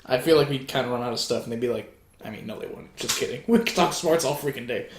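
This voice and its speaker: A man's voice: medium pitch, fast talker. A young man co-hosting a casual sports podcast, trading laid-back, wry banter. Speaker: podcast co-host. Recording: echoey room, faint noise.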